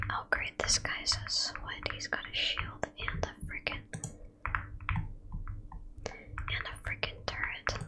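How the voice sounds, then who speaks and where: Whispering young woman chatting over gameplay. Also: low-quality recording. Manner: whispering throughout, chatty, rambling, quickly frustrated by opponents. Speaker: gaming video creator.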